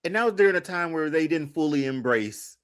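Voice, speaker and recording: Lively man chatting on a podcast. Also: on the high side, fairly quick. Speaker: podcast panelist. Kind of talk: casual chat, debating games and movies.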